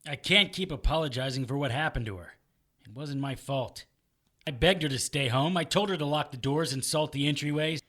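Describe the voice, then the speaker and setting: A man's voice: medium pitch, fairly quick, pushed and bright. A man playing a stubborn, wounded supernatural detective in an audio drama, wry and defensive in manner. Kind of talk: naturalistic audio drama acting.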